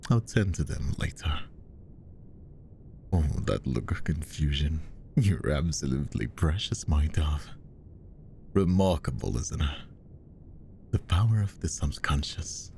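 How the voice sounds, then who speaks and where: Man acting a very deep, possessive magician hypnotist. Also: a little hiss or hum, full character voice. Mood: tender, possessive. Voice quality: soft, very deep, gravelly. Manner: slow, hushed, coaxing, often slipping into whispers. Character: possessive, smooth-talking male magician hypnotist, menacingly tender. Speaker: voice actor. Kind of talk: roleplay ASMR.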